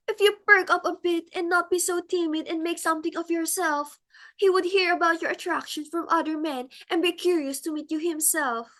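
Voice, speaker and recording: A self-important society hostess played by a woman, frantic and quick to rage. Her voice is high and bright, and she delivers everything with theatrical, exclamatory indignation, as in a stage comedy. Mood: scolding. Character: imperious middle-aged society hostess, frantic, self-important, easily enraged. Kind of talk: comic stage-play acting.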